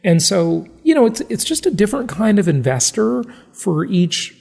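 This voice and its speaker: A man's voice: sits high, big pitch swings, normal speed, vocal fry, a little mellow, breathy edge, big-room sound. A man hosting a podcast in a casual, conversational way. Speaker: podcast host.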